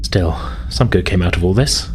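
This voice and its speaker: A man voicing a polite, wistful woman officer who survived a crash, speaking haltingly in a tabletop roleplay. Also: sits low, expressive, a little mellow, a little hiss or hum. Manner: hesitant, halting.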